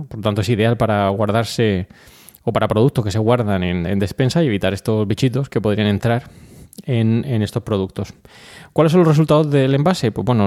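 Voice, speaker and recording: Mellow Spanish man hosting a podcast. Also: mid-range voice. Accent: Spanish from Spain. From Murcia, Spain. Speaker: podcast host. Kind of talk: conversational news commentary on a podcast.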